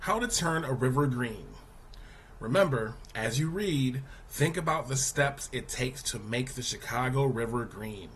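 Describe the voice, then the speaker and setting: Guy teacher reading a kids news article out loud, really slow n deliberate, some noise behind him. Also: medium pitch, animated. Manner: deliberate, explanatory, poses questions to young listeners. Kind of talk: scripted children's article read-aloud.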